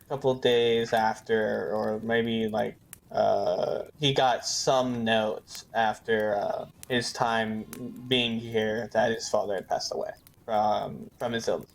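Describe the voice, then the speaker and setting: A man playing a tabletop game, improvising story ideas in a casual, hesitant and halting way. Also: lowish voice, steady tone, vocal fry.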